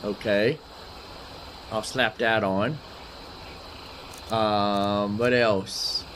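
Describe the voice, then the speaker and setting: A man's voice: on the low side, steady tone, extremely slow, noisy background. A man giving casual live game commentary, thinking aloud in a folksy way and repeating his phrases. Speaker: gaming streamer.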